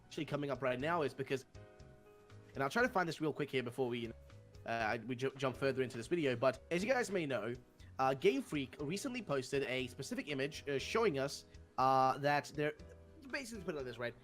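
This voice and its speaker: A man's voice: medium pitch, expressive, a little fast, a bit bright, faint music behind. A young man with a Swedish accent, hosting a casual discussion and rambling with many fillers and restarts. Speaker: host.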